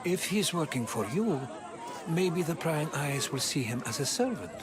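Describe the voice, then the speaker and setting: A man playing a shrewd, worldly adviser in a video game, with a measured, persuasive way of speaking. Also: on the high side, big pitch swings. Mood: wry, persuasive. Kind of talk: video game dialogue acting. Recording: noisy outdoor crowd behind.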